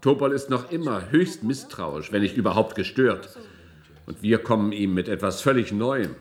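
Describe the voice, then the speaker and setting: A man playing a controlling, shrewd institute director in a science fiction radio drama, speaking formally and deliberately. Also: lowish voice, animated, close to an everyday voice. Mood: skeptical.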